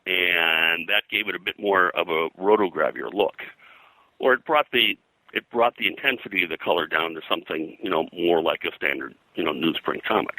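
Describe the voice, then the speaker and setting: An older man with a very low voice and an extremely bright, hard-edged tone. He talks as a veteran interview guest, explaining and reminiscing in a discursive way, often rephrasing as he goes. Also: normal speed, dry recording, poor audio. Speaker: interview guest, veteran comic book colorist.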